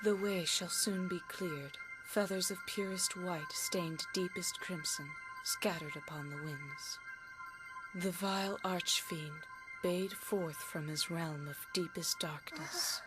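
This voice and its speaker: An actress playing a grave elven fortune teller in a video game, speaking in a stately, portentous and formal manner. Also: female voice, fairly low pitch, slow, music in the background, poor audio. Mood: trancelike, ominous. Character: solemn elven fortune teller, grave adult woman prophetess. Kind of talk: dramatic game cutscene acting.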